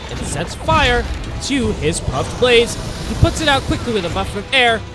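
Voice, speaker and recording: A man's voice: extremely high pitch, very animated, moderate pace. A man telling the story of a video game hunt as a narrator, with dramatic, suspenseful phrasing. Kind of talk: dramatic storytelling narration over monster-hunting gameplay. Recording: music behind the voice.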